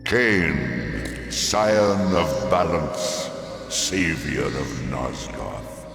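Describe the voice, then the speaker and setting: A man's voice: very deep voice, expressive, gravelly, fairly bright tone, exaggerated voice. A man voicing an ominous, manipulative ancient oracle in a fantasy game, with portentous, theatrical delivery. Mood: solemn, portentous. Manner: portentous, theatrical, slow solemn prophecy. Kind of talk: scripted fantasy game acting. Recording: cavernous long reverb, music behind.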